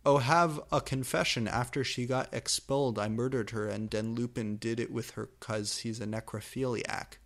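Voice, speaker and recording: A man voicing a cheerful, morbid teenage goth girl in a story reading, chirpy and energetic. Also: mid-range voice, normal speed, few pauses, lightly acted. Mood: gleeful.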